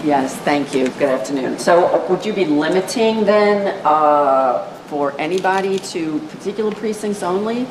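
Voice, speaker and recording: A woman's voice: on the low side, expressive, unhurried, few pauses, a little mellow. A woman reporter asking questions at a press conference in an echoey, noisy room.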